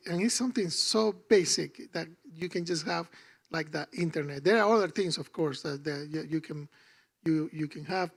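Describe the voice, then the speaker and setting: A man from Peru speaks as a panelist. His voice sits fairly high for a man and has a gravelly texture. He explains things earnestly, with a halting pace. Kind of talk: panel discussion.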